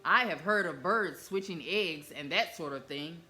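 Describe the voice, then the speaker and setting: A woman's voice: lowish voice, measured pace, pushed and bright, faint music behind. A woman voicing a gossipy farmyard hen who murmurs in a storybook read-aloud. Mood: knowing.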